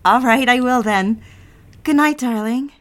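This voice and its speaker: An actress playing a young woman in love, confiding and reflecting in an earnest, measured dramatic delivery. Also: fairly high pitch, a bit bright. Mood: excited, loving. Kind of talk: dramatic dialogue and reflective first-person narration.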